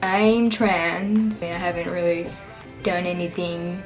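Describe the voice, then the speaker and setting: A young man introducing himself in an older vlog clip, speaking haltingly with a pause between phrases. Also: high-pitched, little pitch movement, soft background music. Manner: halting, hesitant. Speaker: vlogger.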